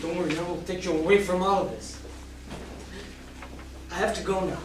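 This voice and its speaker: A man's voice: sits high, fairly even intonation, moderate pace, soft background music, lightly acted. A young man playing a devoted, anguished lover in an amateur stage play, recorded by a distant mic in a theatre. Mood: tender, urgent. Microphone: distant camera microphone. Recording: echoey noisy theatre, distant camera mic.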